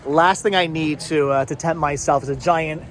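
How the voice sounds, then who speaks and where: A man's voice: fairly high pitch, steady tone, moderate pace. A man in his forties chatting casually for a vlog at an outdoor flea market, stammering and repeating his words as he jokes with companions. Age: 40s. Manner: jokey banter with companions. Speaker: vlog host. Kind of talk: casual chat, vlog commentary while shopping. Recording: noisy outdoor ambience.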